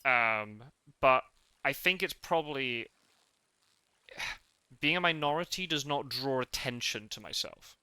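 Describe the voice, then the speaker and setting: A soft-spoken British man chatting casually, circling back and restarting his thoughts. His voice is very bright and crisp. The sound is dry and close. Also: mid-range voice, lively intonation, slow, long pauses, no echo. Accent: British English with Scottish upbringing. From England. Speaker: podcast host. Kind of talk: casual conversation.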